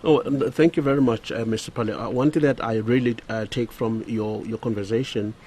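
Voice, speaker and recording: A man's voice: medium pitch, steady tone, fairly quick, warm tone, a little hiss or hum. A man hosting a radio interview, speaking with frequent hesitant fillers. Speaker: radio show host.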